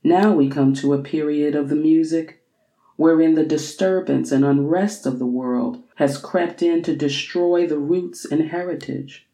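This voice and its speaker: Woman, literary reader, slow pace, medium pitch, deliberate expressive phrasing, echoey room. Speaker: reader of a book excerpt. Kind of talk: literary reading of scholarly prose with quotations.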